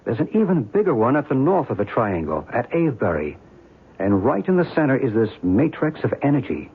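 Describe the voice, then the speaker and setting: An actor playing a calm, knowledgeable guide to ancient mysteries, speaking in a measured, explanatory way in a radio drama. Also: male voice, medium pitch, breathy edge, full character voice. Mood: learned, assured. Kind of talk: old-time radio drama acting. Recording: tinny, hissy band-limited radio recording.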